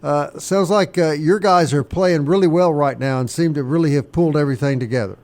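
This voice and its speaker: A man with a slightly high voice hosts a live sports radio show. He talks casually, in a joking, bantering way, from a radio studio. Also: a little fast, a little mellow.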